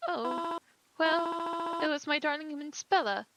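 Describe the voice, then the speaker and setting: A woman voicing a grieving middle-aged mother who talks in a soft, wavering, heartbroken way. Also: very high voice, slow pace, no room sound, pitched above the performer's own voice, full character voice, poor audio. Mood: mournful. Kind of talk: audio drama acting.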